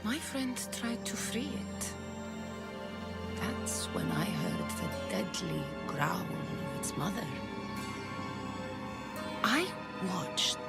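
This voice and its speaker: Menacing warrior woman, slow and dramatic. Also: fairly low pitch, vocal fry, exaggerated voice. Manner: menacing, slow, deliberate, intense. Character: vengeful, cold-hearted warrior woman, estranged sister. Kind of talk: dramatic video game cutscene acting. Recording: music under the voice.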